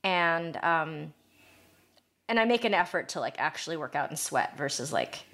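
A woman's voice: low voice, animated, normal speed, a bit bright. Upbeat chatty woman podcast co-host. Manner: chatty, upbeat, lots of fillers. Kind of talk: casual chat.

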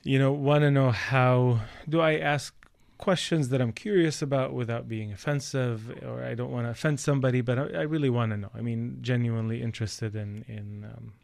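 Gravelly podcast host asking long questions. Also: male voice, mid-range voice, steady tone, brisk, hardly any pauses, a little mellow, no room sound, clean studio sound. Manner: asks long, rambling questions. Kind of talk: casual interview.

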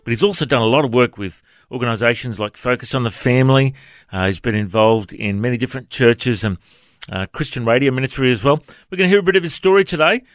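Fast-talking radio host. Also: male voice, mid-range voice, no echo, clean studio sound. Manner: friendly, joking questions. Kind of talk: casual radio interview.

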